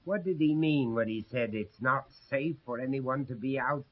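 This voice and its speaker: Actor as husky, earnest American gentleman. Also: medium pitch, natural voice. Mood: polite, probing. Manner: measured, courteous, brooding. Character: earnest, haunted middle-aged American gentleman from Pennsylvania. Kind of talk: radio drama acting. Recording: dull 1970s radio drama recording.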